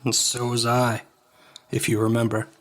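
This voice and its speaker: A man playing a grave, measured older Jedi master, now a ghost, in a dramatic audio drama. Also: medium pitch, monotone, fairly bright tone, close to an everyday voice. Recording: music clearly behind the voice.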